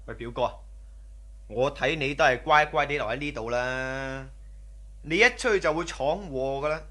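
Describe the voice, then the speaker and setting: A man plays a cautious underling bandit in a radio drama, his voice mid-pitched. He sounds wary and earnest, a superstitious nag. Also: normal speed, fairly bright tone, natural voice. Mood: wary, reluctant. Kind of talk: Cantonese radio drama acting.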